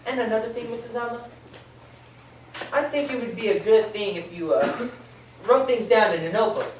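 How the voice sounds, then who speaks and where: A woman's voice: on the high side, animated, moderate pace, a little hiss or hum, lightly acted. Echoey, distant woman playing a polite policeman. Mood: reassuring, instructing. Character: calm, attentive policeman questioning a witness. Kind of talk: amateur old-time radio play acting.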